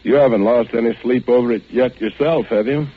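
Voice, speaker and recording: An actor playing a curt, suspicious husband in a 1940s radio drama, clipped and sardonic in the hard-boiled manner. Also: male voice, medium pitch, brisk, slightly rough, close to an everyday voice. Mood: wary, sardonic. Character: curt, suspicious husband hunting his missing wife. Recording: muffled vintage radio recording.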